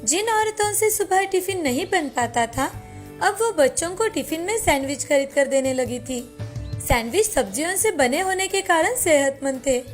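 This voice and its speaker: Female narrator for scripted moral stories, distinctly high voice, soft music bed underneath. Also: expressive, moderate pace. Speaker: storyteller, narrator. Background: faint background music.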